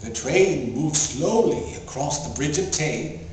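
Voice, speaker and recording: A man's voice: a little high, animated, slow, darkish tone. A man performing a theatrical poetry recital in a grandiose, declamatory, mock-solemn manner. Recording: very echoey, distant mic, faint noise.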